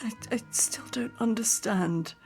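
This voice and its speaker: An actress in a radio drama playing a repressed, long-suffering wife of a cruel husband, with a formal, emotional delivery. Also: female voice, fairly high pitch, unhurried, darkish tone, slightly rough, faint music behind. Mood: dazed, apologetic. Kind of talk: modern radio drama acting.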